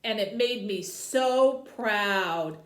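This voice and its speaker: A woman librarian reading a children's novel aloud and hosting for young viewers, with an expressive storytelling delivery. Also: medium pitch, very slow. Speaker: librarian host. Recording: roomy, echoey indoor sound.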